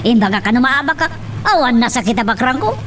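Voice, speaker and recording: A woman's voice: on the high side, lively intonation, quick pace, recorded from a distance, background noise, lightly acted. A woman playing a scheming, commanding wealthy mother in a radio-style drama. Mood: boastful.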